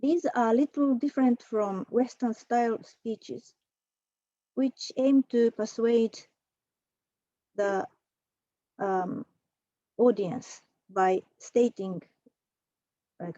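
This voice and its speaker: A woman presenting a prepared academic talk at an online conference, measured, with a few fillers. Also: mid-range voice, steady tone, dark tone, no room sound, highs cut off. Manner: measured, reads from a prepared text with occasional fillers. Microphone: computer mic for an online talk.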